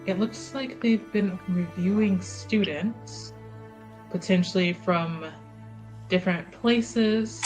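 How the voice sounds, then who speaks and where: A woman running a tabletop game, narrating in a measured, hesitant way with fillers as she recalls details. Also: medium pitch.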